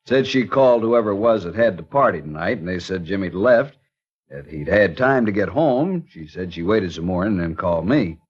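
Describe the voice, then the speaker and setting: A man's voice: deep voice, animated, normal speed, breathy edge. Stubborn small-town lawman. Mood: stern. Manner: stubborn, stern, self-righteous. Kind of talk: 1940s radio acting. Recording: muffled old radio recording.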